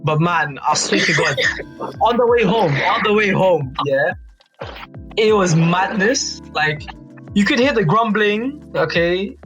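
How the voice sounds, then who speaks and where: A young man with a British accent and a notably high voice tells a casual story. He is slangy and animated, repeating phrases and tagging them to check his friends follow. Music plays behind him. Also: takes it slowly, rough recording. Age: young adult. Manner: animated slangy storytelling, repeats himself, seeks agreement with tags. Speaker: youth advisory board member on a podcast. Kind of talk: casual anecdote among friends.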